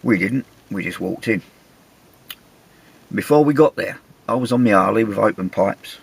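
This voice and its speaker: An English man, middle-aged, with a gravelly, husky voice, telling a travel story in a chatty, wry, self-mocking way. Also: fairly low pitch, moderate pace. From England. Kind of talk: casual anecdotal storytelling.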